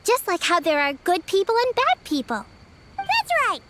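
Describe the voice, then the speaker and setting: A woman's voice: extremely high pitch, wildly swooping pitch, moderate pace, heavy background noise, exaggerated voice. An actress voicing an eager, inquisitive little girl in a video game. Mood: cheerful. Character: curious, cheerful little girl.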